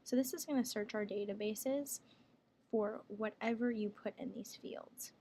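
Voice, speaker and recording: A woman working as a software trainer, explaining each step plainly in a casual screen tutorial. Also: mid-range voice, a little slow. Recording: clear background noise, room ambience.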